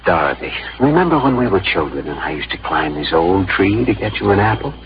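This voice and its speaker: Devoted young frontier farmer: lowish, very expressive voice, emphatic 1950s radio drama delivery. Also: male voice, brisk, slight background noise. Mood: romantic. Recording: muffled old radio broadcast with music.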